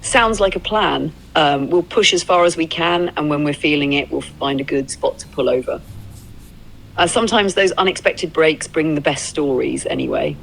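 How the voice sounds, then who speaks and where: A woman's voice: sits low, normal speed, creaky, a little hiss or hum, rough recording. A synthetic woman's voice playing an easygoing, upbeat travel companion, conversational, reflective and encouraging. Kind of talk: AI voice companion in casual roleplay chat. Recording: music behind the voice. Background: music.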